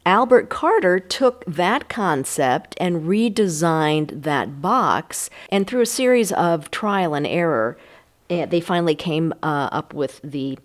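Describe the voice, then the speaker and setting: A woman's voice: on the low side, expressive, takes it slowly. A middle-aged or older American woman co-hosting a nostalgic chat show in an informal, conversational way. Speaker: podcast co-host.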